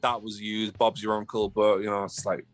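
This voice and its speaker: A man from Newcastle with a Geordie accent, chatting as a guest on a casual podcast, animated and repeating himself as he talks. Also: lowish voice, moderate pace. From Newcastle, England.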